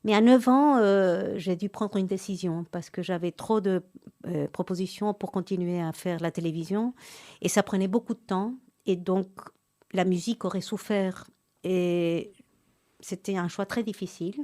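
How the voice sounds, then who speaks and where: A middle-aged woman from Argentina, a Spanish native speaker, recounting her career in a relaxed interview with frequent restarts and fillers. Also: fairly low pitch, moderate pace. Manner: hesitant, many restarts and fillers. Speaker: pianist and actress, interview guest.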